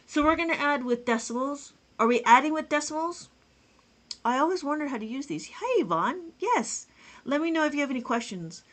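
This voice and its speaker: A woman's voice: on the high side, a bit bright. A woman teaching abacus math on a live stream, chatting with viewers, greeting them by name and counting numbers aloud.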